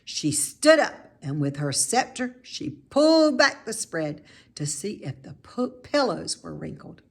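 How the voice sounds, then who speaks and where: A woman reading a children's story aloud expressively, with dramatic, drawn-out emphasis on key words. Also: medium pitch, big pitch swings, vocal fry, slightly rough. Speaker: storybook reader.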